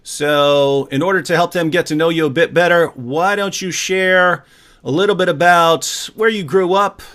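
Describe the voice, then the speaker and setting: A man with a fairly high-pitched voice hosts a livestream interview. His delivery is casual and conversational, with a playful, jokey touch of showmanship. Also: fairly even intonation, normal speed, a bit bright. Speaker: host.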